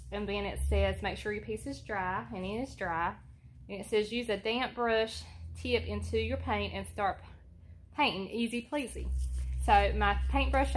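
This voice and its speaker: A soft-spoken woman with a Southern US accent, talking low and hesitantly with many ums as she shows a home project alone in front of her phone camera. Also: a little hiss or hum. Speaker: homestead vlogger. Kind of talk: casual how-to vlog.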